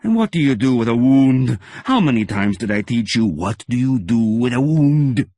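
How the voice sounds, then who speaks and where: A man voicing a scornful old fencing teacher who scolds loudly in a raised voice. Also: big pitch swings, moderate pace, no room sound, studio quality. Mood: scolding. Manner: loud, scolding, raised pitch.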